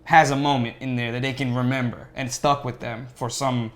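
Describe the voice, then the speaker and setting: A man's voice: mid-range voice, flat delivery, moderate pace. A man in casual, opinionated podcast conversation, speaking with emphasis as one of the panelists. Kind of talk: casual chat.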